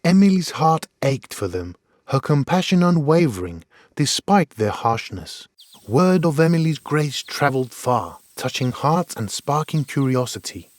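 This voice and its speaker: Expressive male fairy tale narrator. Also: mid-range voice, unhurried, no room sound.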